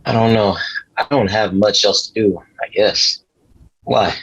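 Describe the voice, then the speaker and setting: An awkward, earnest driver played by a man with a lowish, husky voice. He explains things gently and haltingly, as in a film script reading. The audio is a little dull. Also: fairly even intonation, full character voice. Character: awkward, earnest male driver Chaz, kindly conspiracy believer. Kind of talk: table read of a film script. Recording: dull, slightly muffled audio.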